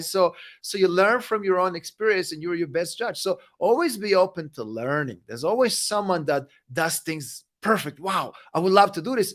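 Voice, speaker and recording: A man whose first language is Serbian, answering interview questions in an energetic, emphatic motivational way, often tagging "right?" onto his points. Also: high-pitched. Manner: energetic, emphatic, repeats "right?" and "you know what?". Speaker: guest speaker and coach.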